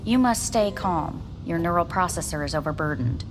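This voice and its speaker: A woman's voice: fairly low pitch, expressive, over music, natural voice. Formal, detached woman surgeon played by an actress. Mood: detached, professional. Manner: formal, measured, clinical. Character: clinical, detached female neurosurgeon, coolly proud. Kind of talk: cinematic video game acting.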